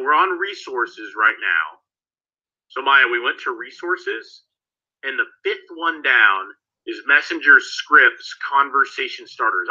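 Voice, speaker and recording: A man's voice: medium pitch, sharp tone, muffled recording. A man teaching a live online training session, casual and speaking to students by name, while he is losing his voice. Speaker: course instructor.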